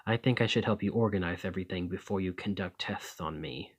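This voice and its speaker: Courteous 19th-century vampire, played by a man, deep and breathy, gentle, formal and protective, ASMR roleplay. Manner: gentle, formal, old-fashioned phrasing. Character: desperate, courteous, protective male vampire of the 1820s.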